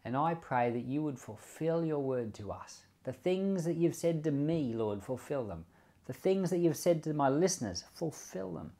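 A man's voice: mid-range voice, darkish tone. A pastor giving conversational bible commentary and closing in prayer, often repeating words as he thinks aloud, with the odd light chuckle.

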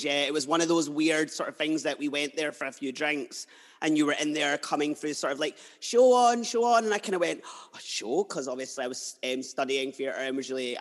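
A man's voice: on the high side, a bit bright, big-room sound. A man hosting an interview, chatting enthusiastically with loose, rambling phrasing. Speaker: podcast host. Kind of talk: casual interview chat.

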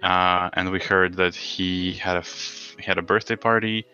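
A man's voice: low-pitched, little pitch movement, normal speed, pushed and bright, muffled recording, soft background music. A man hosting a casual football podcast, rambling and frustrated, swearing freely. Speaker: podcast host.

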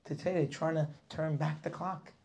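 A man's voice: on the high side, steady tone, moderate pace, gravelly, a little mellow. A man teaching a weekly Torah class, preaching with passion, firing rhetorical questions and sometimes dropping to a near whisper.

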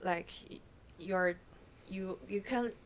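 A woman's voice: medium pitch, slow, a little hiss or hum. Young Chinese-accented woman, casual guest. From China. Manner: hesitant, frequent fillers, laughs easily. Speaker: podcast guest. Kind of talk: casual chat.

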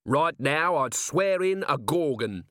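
Audiobook police commander, acted by a male narrator: gruff, curt, sardonic, quick to anger, expressive swings in pitch. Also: dry recording, studio quality. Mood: brusque.

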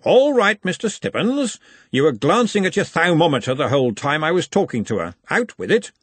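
A man's voice: fairly high pitch, sing-song, fairly quick, slightly rough, no room sound, voice pushed up. Man acting a pompous, commanding older wizard, raised voice. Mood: brisk demand. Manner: pompous, oratorical.

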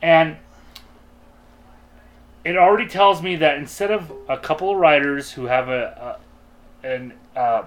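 A man's voice: fairly high pitch, unhurried, pauses often. A man chatting casually as a podcast co-host, opinionated and emphatic in an exasperated way. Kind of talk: casual opinionated group chat.

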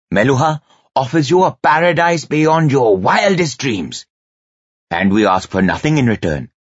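A man plays a courteous envoy from a great empire in a fantasy audiobook. He speaks formally and grandly, lifting a husky voice to persuade. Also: lowish voice, no room sound, dull audio, pitched above the performer's own voice. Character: courteous foreign male envoy promising a paradise.

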